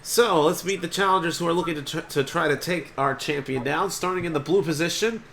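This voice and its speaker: An upbeat, chatty male game-show host whose voice sounds congested from allergies. He talks in a fairly high register and clears his throat now and then. A faint noise hums underneath. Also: fairly quick, a bit bright. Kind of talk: homemade game-show hosting.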